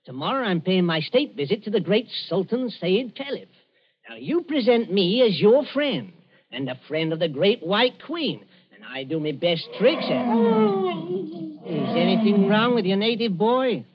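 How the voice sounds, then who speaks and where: Cocky london stage magician, high husky voice swooping about, breezy patter, muffled old radio play. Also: male voice, big pitch swings, unhurried. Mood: brisk, cocky. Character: brash cockney showman magician posing as witch doctor. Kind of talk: old-time radio drama acting.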